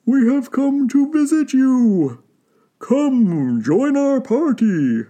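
A storyteller voicing a bold, commanding wolf war leader who calls out loudly. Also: high voice, big pitch swings, slow, voice pushed up, heavily acted. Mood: hailing. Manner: loud, calling out.

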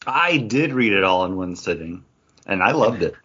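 Low, husky-voiced man chatting. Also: expressive, moderate pace, sharp tone, lo-fi sound. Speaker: podcast co-host reviewing comics. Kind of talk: casual talk, book review.

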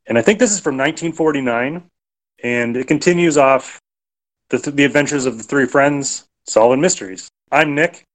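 A man's voice: medium pitch, moderate pace, rough recording. A man giving a casual, informal introduction as a podcast host. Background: music.

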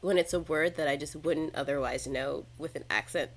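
Chatty low-voiced woman with vocal fry. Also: moderate pace. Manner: chatty, laughs often, acts out her own reactions. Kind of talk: casual chat.

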